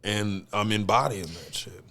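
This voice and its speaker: A man with a raspy voice talking casually as a musician guest in a podcast conversation, telling stories in a rambling, animated way. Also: lowish voice, measured pace.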